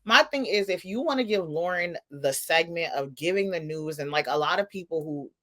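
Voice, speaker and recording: A woman co-hosting a podcast, explaining her opinion in a casual, emphatic way and restating points to make them clear. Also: on the low side, animated, moderate pace, sharp tone. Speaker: podcast co-host.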